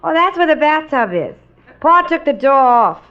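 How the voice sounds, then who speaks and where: Naive, friendly tenement youngster played by a woman, New York accent, high voice, muffled vintage radio comedy recording. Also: sing-song, normal speed. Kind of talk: 1950s radio comedy sketch acting. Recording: noisy, dull old radio recording.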